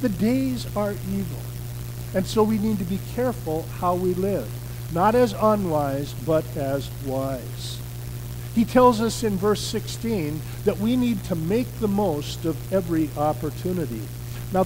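A middle-aged American man preaching a sermon from a church pulpit, in an earnest, measured way with emphatic stresses. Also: high voice, background noise. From United States. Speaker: pastor. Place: church sanctuary, indoors. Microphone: podium mic on a stand.

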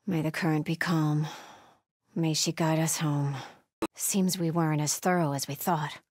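An actress playing a devout, watchful cult sentry in a video game, speaking in a solemn, measured, pious way with a husky voice. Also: female voice, deep voice, little pitch movement, a little mellow, dry recording, studio quality. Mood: solemn vigilance. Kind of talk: video game ambient dialogue.

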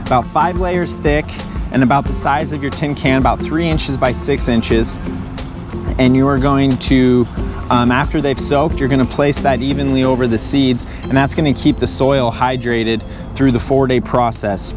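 A man's voice: mid-range voice, fairly even intonation, normal speed. A young adult man co-hosting a vlog, giving casual step-by-step instructions outdoors. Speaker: vlog co-host. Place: outdoors in a park. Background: music.

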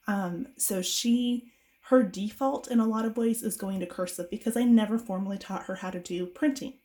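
A woman's voice: mid-range voice, normal speed, dark tone. A woman in her thirties, a homeschool mom getting over a cold, chatting casually and rambling with fillers while reviewing books at home.

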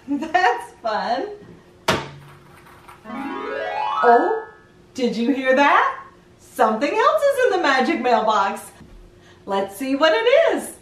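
A middle-aged woman hosting a children's read-aloud, playfully leading young listeners through counting and asking them questions in an animated sing-song way. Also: sits high, very slow, long pauses. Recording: roomy echo, distant mic, music behind.

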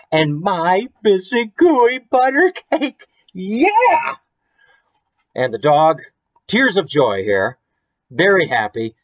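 An American man in his thirties reading a children's book expressively, with chatty asides and questions to the listeners. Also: on the high side. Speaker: children's author reading own book to viewers. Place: small room at home, indoors.

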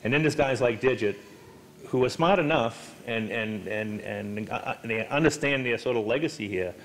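An older man interviewing an author on stage, loud and chatty with joking asides. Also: mid-range voice, moderate pace, a little hiss or hum, low-quality recording. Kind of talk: onstage conversation. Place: stage of a hall with an audience. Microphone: handheld mic.

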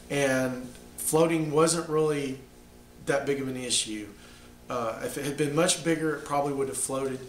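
A middle-aged man, a little hoarse from the flu, explaining a DIY build in his home workshop, plain and halting with many ums. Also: mid-range voice, roomy sound, slight background noise. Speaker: DIY maker. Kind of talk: casual how-to explanation.

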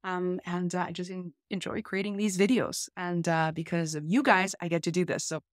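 A woman's voice: fairly low pitch, flat delivery, normal speed, no room sound, clean studio sound. A woman in her thirties talking casually and chattily to camera, like a tech vlog host. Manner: chatty, informal. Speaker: host, tech content creator. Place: small room at home, indoors.

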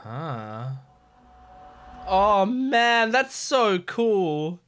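A man's voice: high voice, big pitch swings, drawn-out words, a bit bright. A man in his twenties chatting casually and enthusiastically as a YouTube reaction host, close to a desk microphone in a bedroom. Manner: enthusiastic, gushing, swearing. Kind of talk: casual excited reaction chat. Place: small bedroom at a computer desk. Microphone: desk boom microphone. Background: game trailer music.

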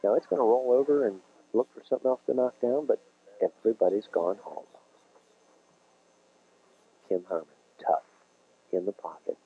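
A Midwestern American man commentates a televised bowling match in a folksy, explanatory way. His voice sits low and a little husky. The sound is dull and lo-fi, like an old 1980s TV broadcast. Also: animated, moderate pace, long pauses. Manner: folksy, encouraging, explains the game to viewers. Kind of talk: TV sports commentary.

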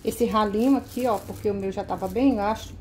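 A woman showing her grocery haul at home, listing each item one by one in a relaxed, casual way. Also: medium pitch, slight background noise. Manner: slow, listing items one by one. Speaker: vlogger. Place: kitchen at home, indoors.

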